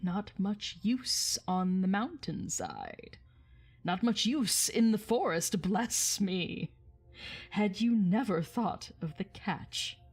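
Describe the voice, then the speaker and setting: A woman voicing a boastful, menacing old male dragon in a storybook reading, with slow, drawn-out, emphatic speech. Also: medium pitch, big pitch swings, a little mellow, breathy edge, faint music behind, pitched above the performer's own voice, heavily acted. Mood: mocking.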